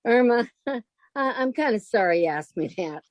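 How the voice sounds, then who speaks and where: A woman's voice: on the high side, expressive, moderate pace, no room sound, natural voice. A woman playing a sensible, exasperated roommate in an old-time radio comedy, with a wry, patient delivery. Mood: dry exasperation.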